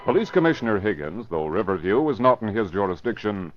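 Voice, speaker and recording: A formal male announcer tells the story in a lowish, dramatic voice. It is a muffled vintage radio recording with music clearly underneath. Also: expressive, brisk. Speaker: announcer and narrator. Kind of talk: old-time radio drama narration, scripted. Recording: dull old radio recording, music behind.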